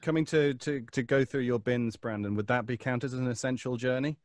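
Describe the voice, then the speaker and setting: A man's voice: medium pitch, fairly quick, dry recording. A man, a podcast performer, chatting casually and informally from a small cupboard at home. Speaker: podcast voice actor. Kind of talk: casual chat.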